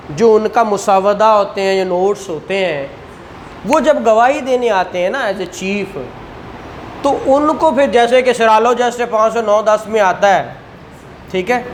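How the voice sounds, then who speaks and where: Law lecturer, Pakistani Punjabi accent, conversational explaining, frequent rhetorical questions, faint background noise. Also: medium pitch. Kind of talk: informal classroom lecture with questions to students.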